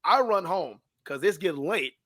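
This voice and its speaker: A man's voice: sits high, normal speed, no echo. American guy in his 30s chatting on a podcast, slangy storyteller, acts out the dialogue, really dry close sound. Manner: animated, slangy, acts out his own past thoughts and dialogue. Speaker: podcast guest, sports public address announcer. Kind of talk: casual storytelling in an interview.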